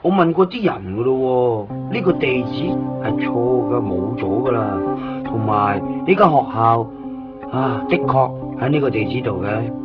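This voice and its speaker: A blunt, loud young man , played by an actor searches a foreign street with a friend. his voice is mid-pitched and comes through a muffled, lo-fi radio play with strong music underneath. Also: expressive, measured pace, close to an everyday voice. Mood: explaining. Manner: loud and blunt, calls out to passersby. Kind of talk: 1990s radio drama acting.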